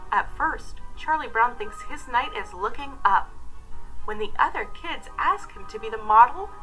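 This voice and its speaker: A woman, narrating a children's story in an expressive, scripted read-aloud style. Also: on the high side, normal speed, faint music behind, poor audio.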